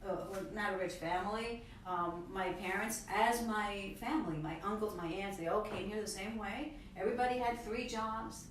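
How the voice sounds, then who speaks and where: An expressive woman in her fifties, from Ecuador, telling her story as a talk-show guest. She sits well back from the microphone in a very echoey room. Steady background noise runs under her voice. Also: medium pitch, normal speed, few pauses. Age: 50s. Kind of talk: casual interview storytelling. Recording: echoey room, far from mic, noisy.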